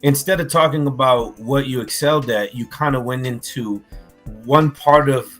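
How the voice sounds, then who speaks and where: A man co-hosting a casual music talk show, animated and loose, often restarting his sentences mid-thought. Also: mid-range voice, normal speed. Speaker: co-host. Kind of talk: casual chat.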